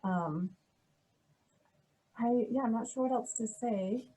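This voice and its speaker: A middle-aged woman, a history professor, answering interview questions in a soft, hesitant way with many pauses and restarts. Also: fairly high pitch, husky, poor audio.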